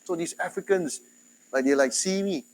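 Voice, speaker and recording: A man from Singapore with a Singaporean English accent, giving a university lecture in a chatty, animated way. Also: a little high, normal speed, slight background noise, poor audio. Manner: chatty, animated, quotes others in dialogue.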